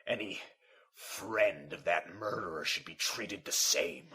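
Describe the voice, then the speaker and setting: A man's voice: deep voice, takes it slowly. A man acting a hostile, vengeful police detective in an amateur radio play. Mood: hostile disgust. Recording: noisy hobby recording with clicks.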